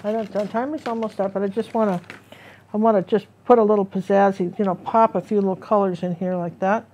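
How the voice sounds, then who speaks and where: A woman's voice: medium pitch, steady tone, fast, dark tone. Chatty woman teaching painting live. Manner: chatty, rambling, self-interrupting. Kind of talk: casual live painting demo.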